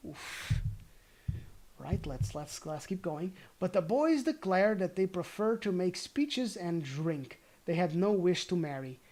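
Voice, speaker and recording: Informal fairy-tale narrator with a medium-pitched voice. Playful, self-correcting, chatty between passages. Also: expressive, slow, a little mellow. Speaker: storyteller reading aloud.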